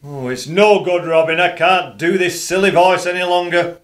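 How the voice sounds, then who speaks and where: A man's voice: sits high, fairly even intonation, normal speed, fairly bright tone. An amateur actor playing a cheeky, bumbling male outlaw in a comic radio play.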